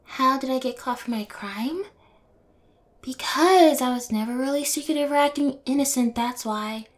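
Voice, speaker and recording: High-voiced, taunting young yandere girl played by a woman. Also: normal speed, lightly acted. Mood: smug, scornful. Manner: taunting, brazen, teasing, drops to breathy whispers. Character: bold, twisted, spoiled rich yandere girl in prison. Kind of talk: intimate audio roleplay to the listener.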